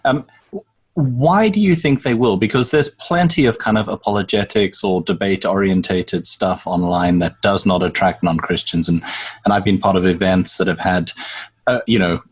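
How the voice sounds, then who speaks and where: Brief: podcast host, man, deep husky voice, eager questioning. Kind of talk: interview questions.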